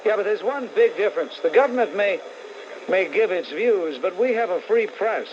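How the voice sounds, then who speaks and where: A man with a New York accent hosting a combative call-in radio show, blunt, sarcastic and quick to insult callers. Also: high-pitched, lively intonation. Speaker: talk radio host. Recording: dull 1980s AM radio recording, faint hiss.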